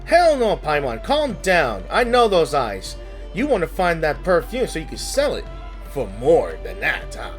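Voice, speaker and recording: A man's voice: a little high, wildly swooping pitch, unhurried, soft background music. A young man giving casual playthrough commentary as a gaming host, reacting aloud in loose, conversational phrases.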